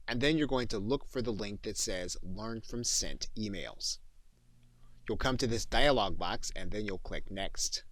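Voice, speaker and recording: Low-voiced man giving a software tutorial. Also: no room sound. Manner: instructional, methodical. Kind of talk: step-by-step screencast tutorial narration.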